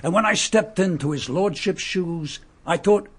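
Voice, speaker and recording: A man's voice: high-pitched, measured pace, slightly rough. An actor playing a bitter, mocking male murderer who posed as a lord, in a radio drama, speaking. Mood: sneering. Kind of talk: vintage radio drama acting.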